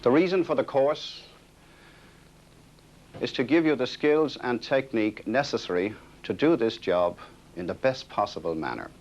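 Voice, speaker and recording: A man's voice: mid-range voice, animated, normal speed, darkish tone. An Irish man giving a formal prepared address to trainees as a driving instructor, on a 1960s film recording. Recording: dull 1960s film sound, noisy.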